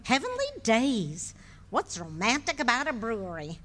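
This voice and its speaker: An amateur actress playing a fond, sharp-witted wife in a live radio play, teasing and sweetly scolding. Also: female voice, fairly high pitch, huge pitch swings, moderate pace, heavily acted. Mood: insistent. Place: ballroom stage before an audience. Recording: live ballroom sound with reverb.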